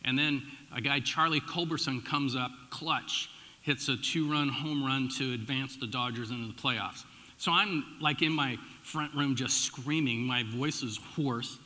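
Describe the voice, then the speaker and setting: A middle-aged American man preaching as a pastor, telling personal stories in an informal, animated, conversational way with emphatic swings and dropped asides. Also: mid-range voice, measured pace. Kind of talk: conversational sermon with personal storytelling. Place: large church room, addressing a congregation. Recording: echoey hall with long reverb.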